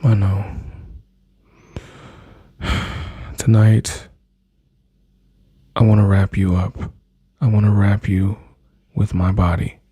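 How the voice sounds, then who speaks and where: A man speaking softly and slowly in an intimate, soothing boyfriend-style ASMR roleplay, his low breathy voice often dropping into whispers close to the mic. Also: little pitch movement, dry recording. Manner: soft, slow, soothing, intimate address to listener.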